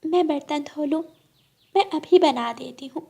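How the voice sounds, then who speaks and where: Woman voicing a meek, high-pitched young wife bird. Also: measured pace, creaky, a little mellow. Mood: obedient. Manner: deferential, plaintive. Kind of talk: Hindi cartoon story acting.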